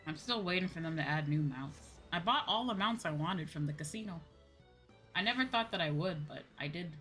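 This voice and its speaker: A woman livestreaming a game in casual, excitable chatter, often exclaiming as she talks. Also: fairly low pitch, brisk, faint music behind, low-quality recording.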